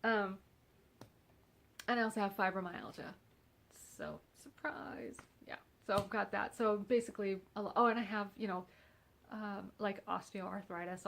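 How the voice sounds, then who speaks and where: A congested woman chats casually at home, her voice nasal and a little gravelly. She rambles in a loose, unhurried way and keeps lightly poking fun at herself. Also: medium pitch, slight background noise. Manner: rambling and wry, with self-mocking humor. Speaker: vlogger. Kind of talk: casual chat.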